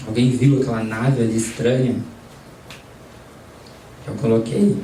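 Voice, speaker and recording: A man's voice: medium pitch, fairly even intonation, measured pace, mellow. A man leading a spiritist study group, talking casually and putting questions to the people in the room. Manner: conversational, asks the audience questions. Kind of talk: informal group lesson with questions to audience. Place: study centre meeting room. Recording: roomy, distant mic, faint background noise.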